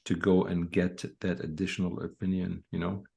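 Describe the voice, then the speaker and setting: A man's voice: low voice, level tone, normal speed, gravelly, darkish tone. A man hosting an interview podcast, asking questions conversationally with some hesitation. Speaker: podcast host.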